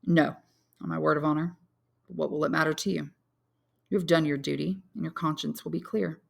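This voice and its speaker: A woman's voice: low-pitched, steady tone, a little fast, vocal fry, exaggerated voice. A woman voicing an intense, honorable, lovelorn young man in a dramatic audiobook, speaking earnestly and solemnly. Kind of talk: dramatic audiobook character reading.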